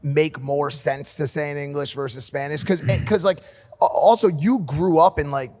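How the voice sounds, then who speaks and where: A man co-hosting a podcast asks long, rambling questions in casual slang. His voice sits a little high, and a long reverb trails behind it. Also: normal speed, darkish tone. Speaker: podcast co-host.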